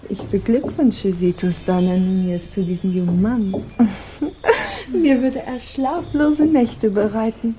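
A woman playing a courtly, flattering princess in a radio drama, speaking in ornate, ceremonious and effusively polite phrases. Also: medium pitch, takes it slowly, background noise, close to an everyday voice. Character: courtly, flattering princess visiting a pirate ship. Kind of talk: German radio drama acting.

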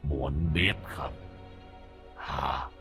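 A man voicing a menacing old ghost beggar who speaks slowly in a low, hollow tone. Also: fairly even intonation, a bit bright, faint music behind, heavily acted.

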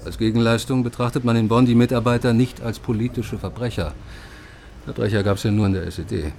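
A man acting a measured, discreet intelligence informant. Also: medium pitch, steady tone, gravelly, darkish tone, a little hiss or hum, lightly acted. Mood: dry, explanatory. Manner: matter-of-fact, measured, explanatory. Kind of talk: radio drama acting.

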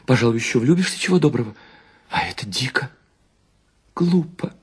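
A male actor puts on a vain, easily flattered wife from a literary tale. The voice is lifted high, romantic and eager beneath put-on indifference. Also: moderate pace, slightly rough. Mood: anxious eagerness.

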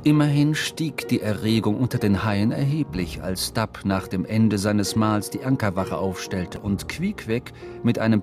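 An actor playing a seafaring narrator, telling of whaling in measured, explanatory literary prose for a radio drama. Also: male voice, lowish voice, lightly acted.

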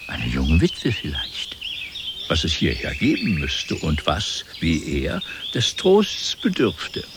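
Very deep, lively male radio-play narrator. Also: slow, background noise.

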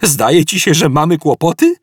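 A man's voice: fairly high pitch, lively intonation, normal speed, slightly rough, no echo, studio quality, voice pushed up. A man reading an audiobook, voicing a flustered, indignant traveler stranded in space who keeps exclaiming in disbelief. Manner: agitated, exclaiming.

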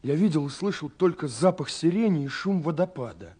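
An actor playing an earnest young romantic in a 1970s Soviet radio play, confiding haltingly with dramatic pauses. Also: male voice, a little high, animated, warm tone, husky. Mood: agitated, dreamy. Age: young adult. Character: earnest young romantic man searching for a mysterious girl. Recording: 1970s radio recording with faint music. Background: faint music.

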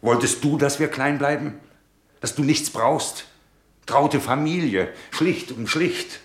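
A man's voice: mid-range voice, slow pace, roomy sound, lightly acted. An actor playing a bitter, disillusioned middle-aged businessman husband in a German radio drama, with a sarcastic, theatrical way of talking. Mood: accusing, agitated. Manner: sarcastic, rhetorical, theatrical delivery.

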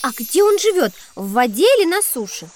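A woman's voice: sits high, very animated, fairly quick, a little mellow, faint music behind. A woman playing a sweet, curious, easily frightened little girl who loves animals, in a children's educational audio drama.

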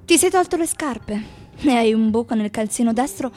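A woman playing a frank, fond lover in a radio theatre monologue, speaking intimately and deliberately. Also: a little high, lively intonation, darkish tone, lightly acted. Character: frank woman fondly cataloguing her slovenly lover's squalor. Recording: faint music underneath.

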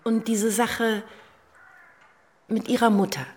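An actress playing a proper, politely disapproving young wife in a radio drama, speaking in a measured, careful way. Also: female voice, on the high side, level tone, a little mellow, husky. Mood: cautious. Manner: measured, polite, hesitant on delicate matters. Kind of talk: German radio drama acting.

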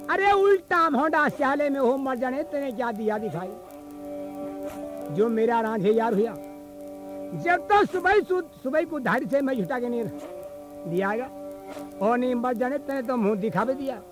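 A man. He performs as a traditional bard, alternating sung verse with narration, while an instrument plays clearly along on a field cassette recording. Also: very high voice, lively intonation, moderate pace, long pauses, music in the background. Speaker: traditional folk storyteller and singer. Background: musical instrument accompaniment.

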